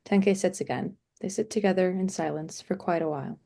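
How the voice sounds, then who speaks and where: A woman's voice: on the low side, a little fast, creaky, warm tone. A woman reading stage directions as scripted narration at a play table read.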